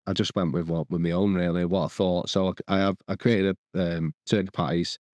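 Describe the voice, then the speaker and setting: A man's voice: low-pitched, little pitch movement, brisk, darkish tone, no room sound. A man co-hosting a podcast, rambling and restarting sentences as he lists the dishes he cooked. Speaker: podcast co-host. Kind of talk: casual chat.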